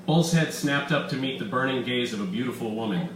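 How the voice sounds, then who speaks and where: Male author, live story narration, deliberate emphasis, echoey bar room, faint background noise. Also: medium pitch, animated, distant mic. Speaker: author reading his own story. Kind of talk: scripted narration, live literary reading. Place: public reading in a bar room.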